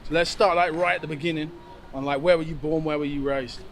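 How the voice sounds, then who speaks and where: A man hosting a travel vlog and interviewing someone outdoors, speaking in a relaxed, slangy way. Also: on the high side, fast talker. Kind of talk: casual street interview. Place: city street outdoors. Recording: outdoor street recording with traffic. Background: traffic noise.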